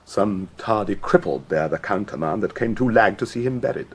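An actor playing a sly English nobleman in a Shakespearean audio drama, speaking in a measured, ironic way. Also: lowish voice, animated, breathy edge. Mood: sly, pointed.

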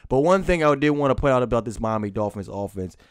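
A man talks through a post-game football recap in an informal, unscripted way. He speaks haltingly and repeats phrases for emphasis, like a fan running his own sports vlog. His voice sits at an average pitch, and the sound is close and dry with very little room echo. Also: animated, smooth.

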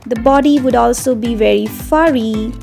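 A woman narrating a step-by-step drawing tutorial for children in an unhurried, explanatory way. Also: a little high, vocal fry, darkish tone, faint music behind.

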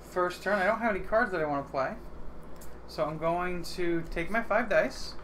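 A man's voice: high voice, normal speed. A man hosting a game session, casually explaining the rules while he takes his turn. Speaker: host teaching a board game. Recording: faintly noisy livestream room.

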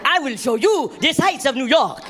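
A man's voice: extremely high pitch, expressive, moderate pace, a crowd in the background. A male comedian voicing an eager, friendly African tour guide who repeats himself, with an African accent. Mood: eager, welcoming. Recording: noisy comedy club with audience.

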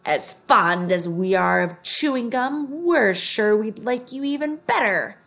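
A woman voicing menacing, hungry talking tigers in a children's storybook, with taunting, emphatic, drawn-out words. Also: a little high, animated, a bit bright. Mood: growling, menacing. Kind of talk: children's storybook read-aloud.

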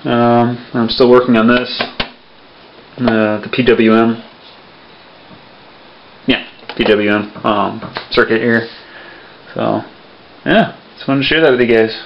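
A young man chats his way through a show-and-tell at a home workbench, relaxed and rambling with plenty of ums. His mid-pitched voice comes through the camera's built-in mic, sounding dull and lo-fi with a faint hiss behind it. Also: slow, vocal fry, pauses often. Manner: relaxed, rambling, many fillers. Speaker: electronics hobby vlogger. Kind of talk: casual show-and-tell vlog.

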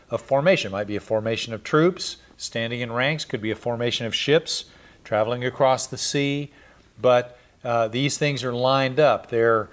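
Middle-aged man teaching Bible study. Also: mid-range voice. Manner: explanatory, spells out and repeats Greek words. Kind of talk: verse-by-verse Bible study lecture. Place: small indoor studio, seated in chair. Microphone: lapel mic.

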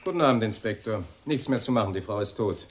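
An actor playing a matter-of-fact police doctor in a German radio crime drama. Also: male voice, lowish voice, animated, fast, slight background noise, lightly acted.

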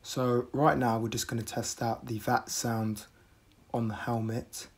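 A man from the UK narrating a casual product review in a measured, deadpan manner. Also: medium pitch, slightly rough. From United Kingdom.

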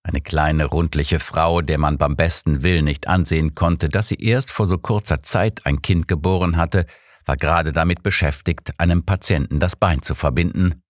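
Low male audiobook narrator, measured storytelling, really dry recording. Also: dry recording. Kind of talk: scripted first-person mystery narration.

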